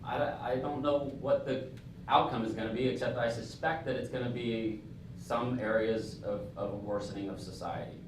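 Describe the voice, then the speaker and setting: A man's voice: medium pitch, fairly even intonation, normal speed. Emphatic man in a very echoey hall. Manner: emphatic, frequent "I mean". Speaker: business school dean, host. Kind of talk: panel discussion answer. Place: event venue with audience. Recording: very echoey, distant mic, room noise.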